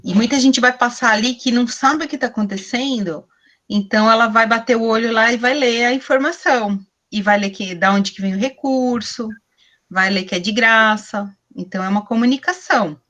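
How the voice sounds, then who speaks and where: A woman's voice: on the high side, fairly quick, fairly bright tone. A woman, teaching a workshop over a video call from a small room at home, chatty and explanatory, asking herself questions as she goes. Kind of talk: online class teaching. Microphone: laptop webcam on video call.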